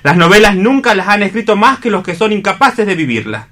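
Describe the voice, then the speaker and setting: A man's voice: sits high, very animated, brisk, sharp tone, full character voice. A man playing an eloquent, persuasive newspaperman in a radio theatre reading, emphatic and theatrical, with an accent from Spain. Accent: Spanish (Spain). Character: eloquent newspaperman, worldly, persuasive male mentor.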